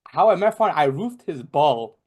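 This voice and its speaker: A man's voice: fairly high pitch, big pitch swings, moderate pace, a little mellow. A young man from Bangladesh, a podcast guest in casual chat, rambling with many fillers and restarts. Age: young adult.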